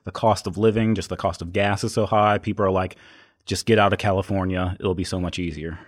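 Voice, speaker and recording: A man's voice: low-pitched, flat delivery, fairly quick. Blunt, questioning male podcast host. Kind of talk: casual interview.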